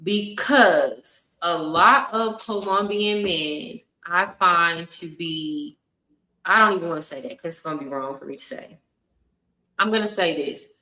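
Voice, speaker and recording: A woman's voice: lowish voice, a little slow, sharp tone. A woman talking bluntly and emphatically in a casual opinion chat, with slangy phrasing. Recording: echoey room.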